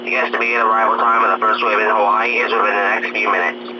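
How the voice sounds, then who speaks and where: A man's voice: fairly low pitch, level tone, fast. A man reading a scripted emergency weather bulletin in a formal, impersonal way. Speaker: weather service announcer. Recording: muffled, noisy, humming radio broadcast.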